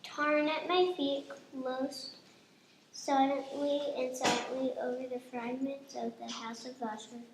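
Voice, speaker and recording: A woman's voice: high voice, flat delivery, unhurried. A woman playing a naive, earnest young girl in a radio play. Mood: solemn reciting. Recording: echoey room, faint noise.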